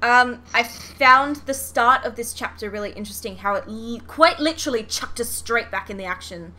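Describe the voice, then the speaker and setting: A woman's voice: on the high side, moderate pace, bright, forceful tone, low-quality recording. A woman hosting a podcast, chatting casually and expressively about a show, with some swearing. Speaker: podcast host. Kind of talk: casual fan chat reviewing a show.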